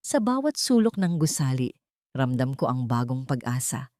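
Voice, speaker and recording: A woman's voice: a bass voice, sing-song, normal speed, darkish tone, no room sound, studio quality. A woman reading a first-person story as scripted audiobook narration, in an earnest, dramatic storytelling manner.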